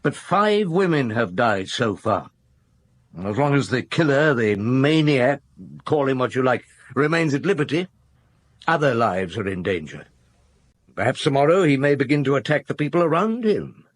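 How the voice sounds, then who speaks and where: An actor playing a weary, probing middle-aged police chief inspector in a radio drama, deliberate and authoritative, with a husky voice. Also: male voice, mid-range voice, sing-song. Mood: grave. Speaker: lead actor and narrator in a radio detective drama.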